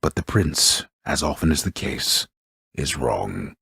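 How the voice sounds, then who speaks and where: An omniscient storyteller of Greek myth for a video game, with an extremely low, heavily rasped voice. He narrates in a grand, deliberate, old-fashioned manner, grave on the surface and drily mocking underneath. The sound is close and dry. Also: lively intonation, sharp tone, no room sound, heavily acted. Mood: wry, grave. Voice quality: gravelly, husky. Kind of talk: theatrical scripted narration with archaic wording.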